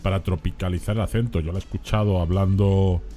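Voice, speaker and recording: A man hosting a radio interview, speaking in a relaxed way with fillers. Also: sits low, a little fast, faint music behind. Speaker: radio host.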